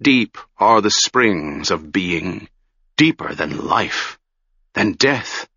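A grieving older wizard, gentle and grave in manner, voiced by a man reading a story. The voice sits low and moves slowly. The sound is dull and dry, like a close studio read. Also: dry recording, exaggerated voice. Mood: impassioned.

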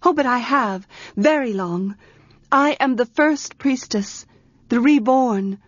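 Woman voicing a haughty, high-pitched young priestess. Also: slow pace, no room sound, muffled recording. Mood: imperious, threatening. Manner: haughty, scoffing, insistent. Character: proud, scornful young priestess girl, imperious and defensive.

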